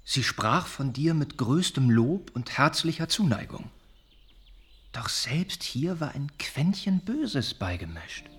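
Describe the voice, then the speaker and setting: An actor playing an earnest, wounded young gentleman who confides haltingly and reflectively in a radio drama. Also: mid-range voice, big pitch swings. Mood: disillusioned.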